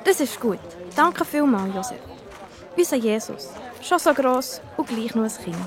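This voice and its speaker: A woman's voice: a little high, measured pace, a faint crowd. A woman playing an anxious, loving mother in a children's audio drama, speaking Swiss German with open emotion. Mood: tender. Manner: emotional, expressive. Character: Maria, anxious loving young mother of Jesus.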